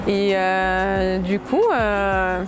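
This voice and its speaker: A woman in her late twenties, a dancer, talking casually and hesitantly in an interview, with many fillers and soft laughs. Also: medium pitch, little pitch movement, extremely slow, over music. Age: late 20s.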